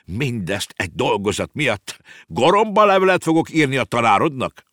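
A man voicing a grumpy, blustering uncle in a children's storybook, irritable and quick to bluster. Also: mid-range voice, very animated, fairly bright tone, no room sound, clean studio sound, pitched above the performer's own voice. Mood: angry.

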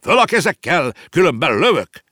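A man voicing a grumpy, blustering uncle in a children's storybook, irritable and quick to bluster. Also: medium pitch, sing-song, a bit bright, dry recording, clean studio sound, pitched above the performer's own voice. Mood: threatening.

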